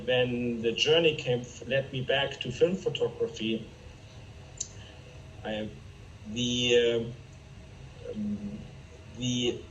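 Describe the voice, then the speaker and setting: A man with a German accent, a guest photographer answering interview questions in an earnest, halting way with many fillers. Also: medium pitch, muffled recording, a little hiss or hum. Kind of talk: video call interview. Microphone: video call. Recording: roomy, distant video call audio.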